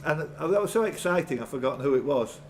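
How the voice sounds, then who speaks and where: Lively, higher-voiced male quiz master. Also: quick pace. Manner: bantering, jokey asides. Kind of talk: live pub quiz hosting. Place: pub. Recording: background room noise.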